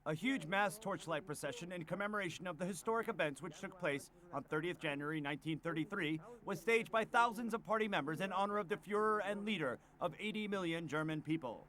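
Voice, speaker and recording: A man's voice: high voice, fairly quick, fairly bright tone, slight background noise. A man reading scripted newsreel narration as an announcer.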